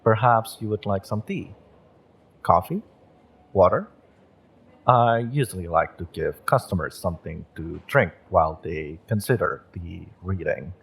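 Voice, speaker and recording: A man acting a gentle, courteous witch who runs a magick shop and reads tarot, speaking politely and a little hesitantly in a roleplay audio. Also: sits low, animated, a little slow, vocal fry, husky, heavily acted. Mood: attentive, slightly shy. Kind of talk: roleplay audio, acted monologue to the listener.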